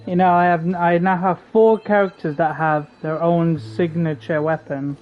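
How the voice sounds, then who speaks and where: A woman's voice: lowish voice, fairly even intonation, moderate pace. A woman giving casual live reactions as she streams a video game. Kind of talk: casual live reaction commentary while playing. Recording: dull lo-fi mic, game music behind.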